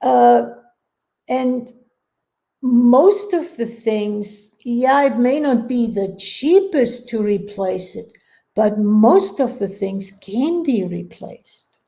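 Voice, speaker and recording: A woman's voice: on the high side, lively intonation, very slow, gravelly, dark tone. A woman in a reflective casual conversation, thinking aloud hesitantly and rephrasing as she goes.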